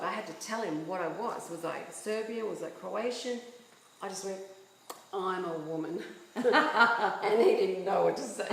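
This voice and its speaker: A woman's voice: medium pitch, roomy sound, a little hiss or hum, rough recording. A woman from Yugoslavia, a book author, talking earnestly as an interview guest and making her points with rhetorical questions. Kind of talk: casual interview chat.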